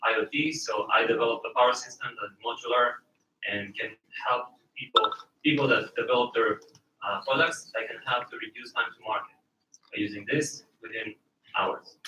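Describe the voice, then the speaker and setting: A man with an Israeli accent introducing himself to a group in slightly halting English. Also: medium pitch, pushed and bright. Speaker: networking event attendee. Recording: roomy, distant, faint noise.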